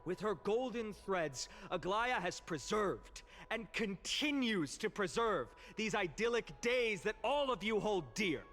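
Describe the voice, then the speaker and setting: Young game orator, high-pitched, sweeping intonation, impassioned, eloquent, emphatic, faint ambient noise. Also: male voice, expressive, a little slow, hardly any pauses, a bit bright. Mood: impassioned, accusing. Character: impassioned young male orator addressing a citizens' assembly. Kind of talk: dramatic theatrical game voice acting, public oration.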